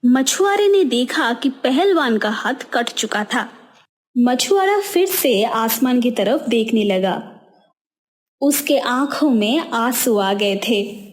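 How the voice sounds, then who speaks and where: Slow expressive woman storyteller. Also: fairly high pitch. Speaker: narrator. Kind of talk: storybook narration.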